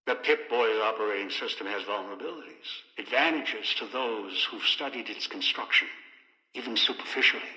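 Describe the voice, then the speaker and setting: Sharp, echoing, scheming old man. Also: mid-range voice. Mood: scornful, accusing. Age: older. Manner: menacing, deliberate, cryptic, clipped phrases. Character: bitter, scheming old man obsessed with pre-war technology. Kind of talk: video game character dialogue. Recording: echoey, long reverb.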